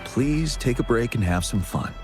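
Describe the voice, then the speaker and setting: A man's voice: mid-range voice, expressive, moderate pace, music in the background, lightly acted. An actor playing a composed, dutiful young male military commander in a video game, formal and polite. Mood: courteous.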